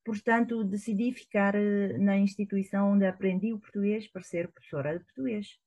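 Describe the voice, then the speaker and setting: A woman's voice: mid-range voice, steady tone, fairly quick, warm tone. A middle-aged woman from China, a professor, speaking Portuguese with a Chinese accent. Her first language is Chinese, and she answers thoughtfully, with frequent hesitations. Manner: thoughtful, hesitant, with many fillers. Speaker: professor, guest. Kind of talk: interview answers. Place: at home in Shanghai, remote call.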